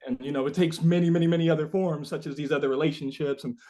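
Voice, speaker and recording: A man's voice: fairly high pitch, fairly even intonation, quick pace, dark tone. A man being interviewed as a musician, talking casually and reflectively, thinking aloud in a rambling, philosophical way.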